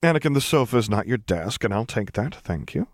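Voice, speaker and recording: A man's voice: on the low side, big pitch swings, fairly quick, dry recording. A man voicing a wry princess and mother in an audiobook, with a dry, firm manner. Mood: stern.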